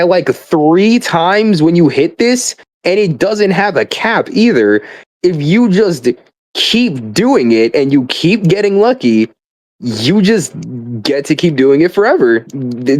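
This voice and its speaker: A man's voice: on the high side, no echo. A young man in a casual, rambling rant, swearing freely, exasperated and exaggerating, often stammering as he restarts his words. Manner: profane, exasperated, hyperbolic; stammering repeated starts. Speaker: gamer.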